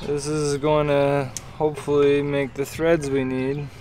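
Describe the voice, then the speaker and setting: Upbeat man with a fairly high voice, outdoors. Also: flat delivery, a little slow, a little mellow, soft background music. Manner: upbeat, explaining wiring steps plainly. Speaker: sailing vlogger. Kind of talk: casual vlog chat. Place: outdoors in a boatyard. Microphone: camera mic. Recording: outdoor camera audio with clear yard noise.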